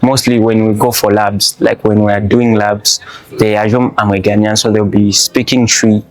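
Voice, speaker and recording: A man's voice: lowish voice, level tone, normal speed, poor audio. A young man with a Zambian accent, a student giving casual interview answers. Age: young adult.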